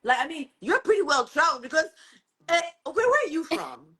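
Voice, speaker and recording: A woman's voice: high-pitched, sing-song, fairly quick, bright, forceful tone. A woman from Ghana and Canada chatting casually as a guest, talkative and inquisitive. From born in Ghana, grew up partly in Canada. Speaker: panel guest. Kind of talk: casual chat.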